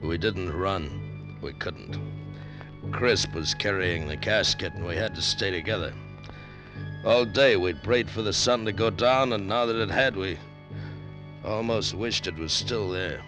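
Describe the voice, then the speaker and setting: A man's voice: low voice, measured pace, rough recording. An actor playing a hard-bitten male adventurer who narrates his ordeal in terse, clipped sentences in a 1940s radio drama. The recording is an old broadcast with music under it.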